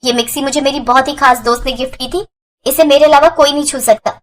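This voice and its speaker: Woman playing a shrill, hot-tempered young sister-in-law. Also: fairly even intonation, fast, close to an everyday voice. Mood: angry. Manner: sharp, threatening, rude.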